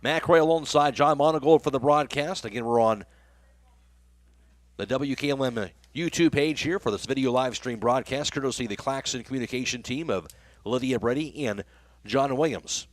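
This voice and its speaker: A man's voice: medium pitch, moderate pace, no room sound. A man working as a radio play-by-play announcer, calling baseball and reading sponsor spots. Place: live radio broadcast from a ballgame.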